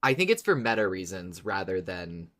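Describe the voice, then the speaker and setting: A podcast host chatting casually and enthusiastically about a favorite show, quick to laugh. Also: low-pitched, sing-song, sharp tone. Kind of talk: casual fan podcast discussion.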